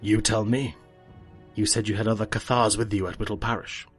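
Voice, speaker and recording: A man's voice: fairly low pitch, fairly even intonation, a little fast, faint music behind, close to an everyday voice. A man acting a stern, commanding veteran soldier with clipped, resolute speech in an audio drama. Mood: controlled, resolute. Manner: clipped orders, dropping to urgent hushed tones.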